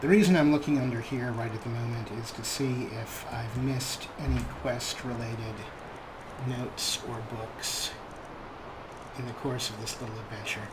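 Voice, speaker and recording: Male host, mid-pitch, relaxed wry gameplay commentary, noisy background. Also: a little slow. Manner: relaxed, wry, talks to his viewers. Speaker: let's play host.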